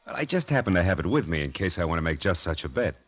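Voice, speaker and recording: An actor playing a smooth, sly high-rolling gambler in an old-time radio drama, casual and understated in his confidence. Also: very animated, quick pace, full character voice. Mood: self-assured.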